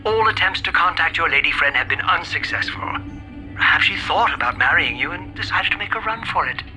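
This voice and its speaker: Fussy, high-pitched robot butler. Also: male voice, lively intonation, fairly quick, bright, forceful tone, faint music behind, low-quality recording. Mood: snide. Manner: dry, deferential yet snide. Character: fussy, sardonic male robot butler, put-upon. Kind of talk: video game cutscene acting.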